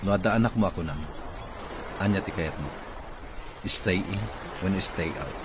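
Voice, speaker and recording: Deep-voiced, curt actor as an irritable boss. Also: male voice, normal speed, close to an everyday voice. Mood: suspicious, curt. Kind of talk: radio drama acting. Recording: clearly noisy background.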